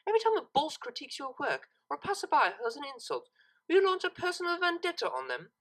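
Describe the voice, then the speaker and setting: A woman's voice: high-pitched, huge pitch swings, fast talker, pitched above the performer's own voice. A woman voicing a refined young cartoon mare who speaks formally and with cool disapproval. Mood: stern, lecturing. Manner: formal, articulate, lecturing.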